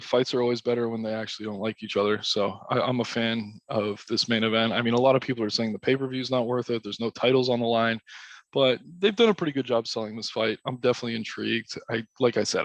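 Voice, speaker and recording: A man casually talking through his fight picks, his voice raspy from late at night, with loose ums and restarts. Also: medium pitch, dry recording. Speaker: DFS strategy host. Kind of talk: casual solo analysis.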